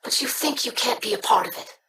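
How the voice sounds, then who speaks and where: A formal young alien scribe, bright clear voice, a bit far off. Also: female voice, on the high side, expressive, fairly quick. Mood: earnest.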